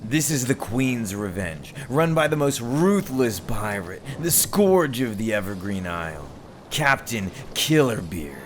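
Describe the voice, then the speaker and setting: A man playing a ruthless, mocking pirate captain in a theatrical, drawn-out ASMR roleplay performance. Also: medium pitch, big pitch swings, background noise. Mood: mocking, boastful. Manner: theatrical, taunting, drawn-out delivery. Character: ruthless male pirate captain, mocking, menacing, mock-gracious. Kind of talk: scripted ASMR roleplay acting.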